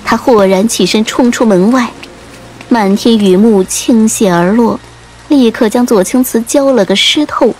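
Unhurried woman narrating an audiobook. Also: a little high, a little mellow, rough recording. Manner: measured, literary. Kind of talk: dramatic scripted storytelling narration. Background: faint music.

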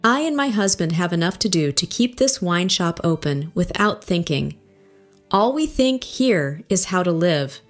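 Man doing a stern wine-shop woman, cool and curt, raised voice, lively swings in pitch, soft music behind. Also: unhurried. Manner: cool, curt, quietly menacing. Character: stern, coolly guarded middle-aged woman wine-shop keeper.

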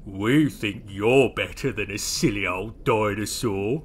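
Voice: low voice, slow, a little hiss or hum, pitched below the performer's own voice. A storyteller voicing a big, naughty male nautiloid who offers mock sympathy in a children's story. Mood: sly, flattering.

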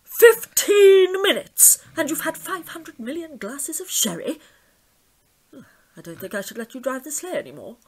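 A woman's voice: high voice, sing-song, measured pace, darkish tone, low-quality recording. A woman playing a vain, imperious lady devil with theatrical menace in an audio comedy drama. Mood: exasperated, imperious.

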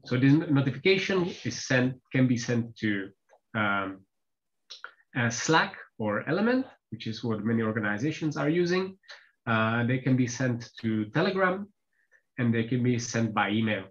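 A man's voice: mid-range voice, big pitch swings. Hesitant Czech man on a call. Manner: hesitant, many filler pauses. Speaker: developer presenting updates. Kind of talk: online team call presentation. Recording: slightly dull lo-fi call audio.

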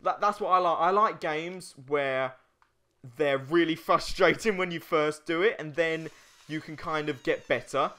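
A young man with a bright, clear-cut voice co-hosts a let's play. He talks casually while he plays, in an informal, sweary way. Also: on the high side, a little slow. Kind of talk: casual chat while playing a video game.

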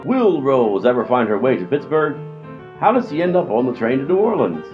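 A mock-serious serial announcer played by a man poses his lines with melodrama. His pitch is animated and sweeping. Music plays behind a dull recording. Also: brisk, natural voice. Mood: mock-dramatic. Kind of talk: mock old-time radio serial narration.

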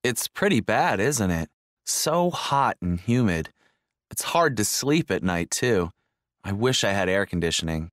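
A man's voice: lowish voice, big pitch swings, measured pace, fairly bright tone, no room sound, studio quality. A man playing a grumbling acquaintance in a scripted small-talk exercise. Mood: complaining. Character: man grumbling about hot weather. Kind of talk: scripted English listening-exercise dialogue.

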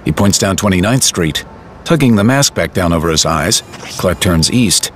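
A man's voice: deep voice, animated, normal speed, slight background noise. A man narrating an audio drama in a dramatic scripted style.

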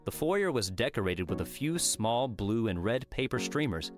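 A man's voice: medium pitch, sing-song, normal speed, over music. A man reading a work of fiction aloud as scripted audiobook narration.

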